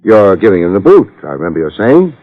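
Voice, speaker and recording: A muffled old radio recording carries a low-pitched, hardboiled private eye. He speaks with an American accent in clipped, sardonic lines, wry and tough in 1950s radio detective style. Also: sing-song, fast talker. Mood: dry, probing. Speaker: radio drama actor.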